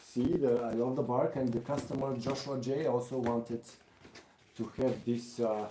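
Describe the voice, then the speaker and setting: Slow-talking Bulgarian man in an echoey room. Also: medium pitch, fairly even intonation, dark tone, slight background noise. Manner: halting, thinking aloud while working. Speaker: craftsman hosting a live stream. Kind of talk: casual live craft demonstration.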